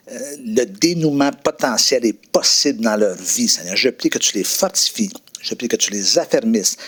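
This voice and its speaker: A French-speaking man with a voice of ordinary pitch talks about his faith as a guest pastor. He speaks haltingly, with many fillers and repeated words, and moves into a steady prayer. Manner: hesitant, many fillers and restarts. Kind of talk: testimony and prayer.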